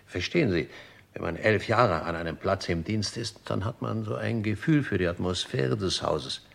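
An actor playing an older, formal manservant in a German radio crime drama, deferential and deliberate, with a husky, gravelly voice. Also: male voice, fairly low pitch. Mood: shaken, deliberate. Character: dutiful, formal old-school manservant of a murdered gentleman.

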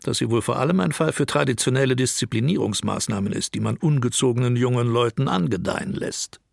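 A man playing an indignant, scornful newspaper editorialist with a creaky voice in an audio documentary. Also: medium pitch, quick pace, dry recording, clean studio sound. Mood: indignant, dry. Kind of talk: dramatized press reading in an audio documentary.

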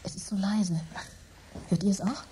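An actress in a German radio drama playing a terrified, fatalistic woman who speaks haltingly, often slipping into a whisper. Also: medium pitch, steady tone, warm tone, a little hiss or hum, close to an everyday voice, rough recording. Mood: frightened, hushed. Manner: halting, breathy whispers and repeated words. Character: terrified, fatalistic woman trapped by eerie forces.

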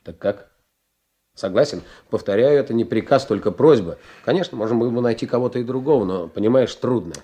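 An actor playing a benevolent, persuasive middle-aged senior naval officer in a radio play, talking in a measured, encouraging way with deliberate pauses. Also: male voice, mid-range voice, mellow, lightly acted.

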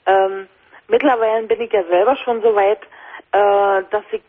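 A woman's voice: medium pitch, fairly even intonation. Hesitant woman caller with a Brandenburg accent. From Brandenburg, Germany. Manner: hesitant, halting, many fillers. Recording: phone line on radio.

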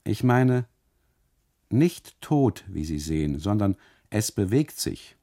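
Radio-play custodian, acted by a male narrator, dark low voice, gravelly, matter-of-fact, insistent, self-important. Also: big pitch swings, takes it slowly, heavily acted.

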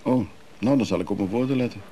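An actor playing a guarded, evasive traveller in a radio play, speaking tersely and haltingly. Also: male voice, on the low side, mellow, slight background noise, lightly acted. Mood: wry.

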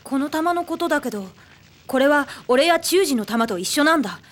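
An earnest young anime hero, speaks in a high boyish voice. He is friendly and sincere, with the clear intent of a boy on a journey. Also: animated, normal speed. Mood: earnest, questioning. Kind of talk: Japanese anime voice acting.

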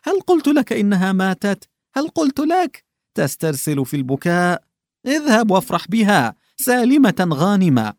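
A man's voice: high voice, dry recording, clean studio sound, voice pushed up. A man voicing a tactless, bumbling old male official who fumbles, backtracks and stammers.

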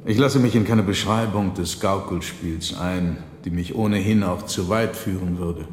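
A man playing a theatrical trickster conjurer in a radio drama, recounting his tale in measured, dramatic, formal language. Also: sits low, slight background noise, lightly acted. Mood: sly, composed. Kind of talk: radio drama storytelling, literary narration. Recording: echoey reverberant hall sound.

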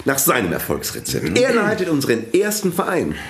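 A man's voice: a little high, wildly swooping pitch, brisk, gravelly, fairly bright tone, echoey room, heavily acted. An actor in a radio drama playing a genial club chairman, hesitant as he leads the talk. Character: genial male club chairman hosting guests. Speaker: meeting chair.

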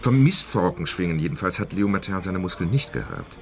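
Low-voiced, lively, hesitant village man. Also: brisk. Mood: hesitant, defensive. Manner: hesitant, wry. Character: pensive, slightly sheepish village man, doubting and loyal. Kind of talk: naturalistic radio drama acting.

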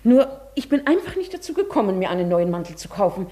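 A woman acting in a German radio play as a genteel, proud lady who is secretly desperate, polite and composed, with a husky voice. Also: mid-range voice, sing-song, fast talker, dark tone. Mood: evasive, polite. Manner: polite, composed, confiding when talking to herself.

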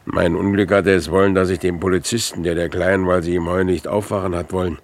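A man's voice: low voice, level tone, quick pace. An actor playing a weary, jobless man on the run, terse and resigned, in a 1950s German radio drama. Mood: bitter, resigned. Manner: terse, resigned, plain-spoken. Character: weary, jobless man fleeing with his young niece.

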